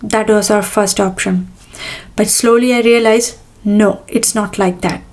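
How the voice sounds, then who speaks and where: A woman's voice: medium pitch, steady tone, unhurried, darkish tone. A middle-aged woman from India, a native Bengali speaker with a Bengali-accented English, hosting a casual podcast monologue with many fillers and restarts. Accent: Bengali-influenced English. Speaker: podcast host.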